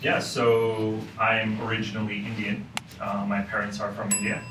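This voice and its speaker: A man's voice: fairly low pitch, completely flat delivery, moderate pace. A man from Hong Kong, a university lecturer, talking thoughtfully as a podcast guest with frequent fillers. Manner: thoughtful, many "um" and "you know" fillers. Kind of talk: casual podcast conversation. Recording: roomy, distant mic with faint noise.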